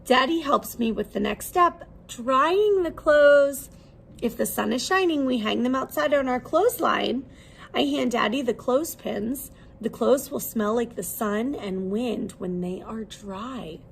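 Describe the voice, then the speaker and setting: A woman preschool teacher reading a children's book aloud expressively, with simple, deliberate questions for young listeners. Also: a little high, gravelly, slight background noise.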